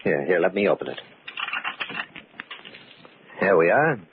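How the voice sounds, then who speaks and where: An actor playing a suspicious, evasive business partner in an old-time radio mystery, speaking in a formal, insistent, clipped way. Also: male voice, low voice, big pitch swings, unhurried, heavily acted. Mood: tense. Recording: muffled, noisy old radio recording.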